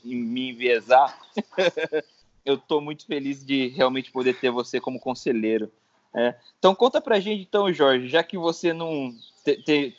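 A man hosting a podcast, enthusiastic, laughing often and tripping over his words. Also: mid-range voice. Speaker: podcast host. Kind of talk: casual interview chat. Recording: dull lo-fi sound with faint noise.

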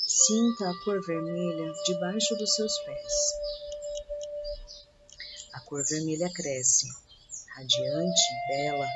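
A Brazilian woman leads a guided meditation in a fairly low voice. Her scripted instructions are slow and soothing. The recording is dull and lo-fi, with loud music and birdsong behind her.

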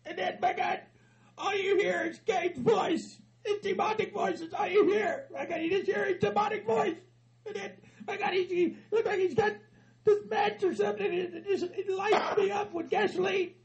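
A man playing a frantic aging hillbilly husband with a Southern hillbilly accent, who stammers, rambles and laughs through his words while yelling and cussing. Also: steady tone, normal speed, highs cut off. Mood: rambling upset. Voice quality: high-pitched and gravelly. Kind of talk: comedy sketch.

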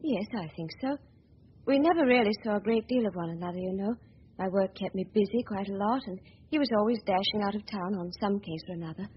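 A woman's voice: mid-range voice, fast talker, natural voice. An actress playing a polite, composed young woman, in 1940s radio acting. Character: poised young woman, the victim's sister. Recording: dull lo-fi 1940s radio recording with faint hiss.

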